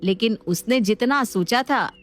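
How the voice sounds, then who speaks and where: A woman's voice: fairly high pitch, unhurried, a bit bright, faint music behind. Woman narrating a family story.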